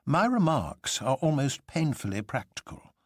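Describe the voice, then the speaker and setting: A man voicing an exuberant, unflappable gentleman in a storybook reading, speaking pompous nonsense with grand deliberation. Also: mid-range voice, big pitch swings, moderate pace, breathy edge, no room sound, studio quality, voice pushed up. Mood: calm, lofty.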